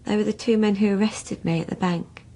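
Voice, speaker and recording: A woman's voice: mid-range voice, steady tone, fairly quick, mellow, close to an everyday voice. An actress playing a serious, subdued young woman under police questioning in a radio drama. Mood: matter-of-fact. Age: young adult. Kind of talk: naturalistic radio drama acting.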